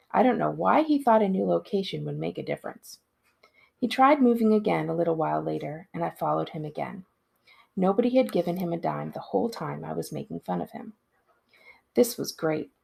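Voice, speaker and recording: Very husky, creaky woman reading aloud. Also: lowish voice, a little mellow. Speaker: school librarian reading aloud. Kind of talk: expressive storybook narration.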